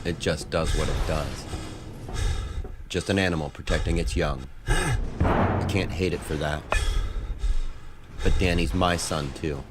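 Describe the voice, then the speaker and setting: Man playing a deep, weary, desperate space marine. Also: a little slow, long pauses, heavy background noise. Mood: defiant. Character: desperate, gruff retired colonial marine, a weary father. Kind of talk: dramatic comic dub acting.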